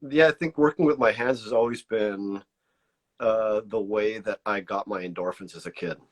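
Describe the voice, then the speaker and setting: Mexican-born man, Spanish first language, slight border accent, lowish voice, halting and unpolished, casual interview guest. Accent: pocho border Spanglish-tinged American English. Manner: hesitant and searching, many restarts and fillers.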